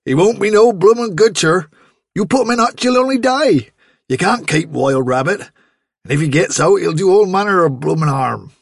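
A man doing a gruff country farmer dad. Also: high voice, lively intonation, moderate pace, no room sound, clean studio sound, pitched above the performer's own voice, exaggerated voice. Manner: gruff, scolding, broad country speech. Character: gruff middle-aged farmer father, blunt and practical.

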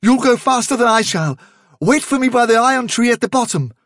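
A man voicing a decisive, commanding rabbit leader giving brisk, urgent orders in a storybook reading. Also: extremely high pitch, lively intonation, a bit bright, no echo, studio quality, voice pushed up, exaggerated voice. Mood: resolute, urgent. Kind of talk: audiobook character acting.

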